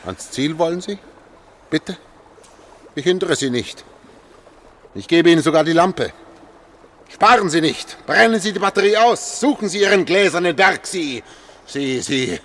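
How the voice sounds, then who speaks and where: A man's voice: a little high, expressive, a little slow, long pauses, a bit bright. An actor in a German radio play playing a bitter, mocking man lost in darkness underground, speaking in taunts. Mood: scornful. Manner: taunting, erratic, emphatic.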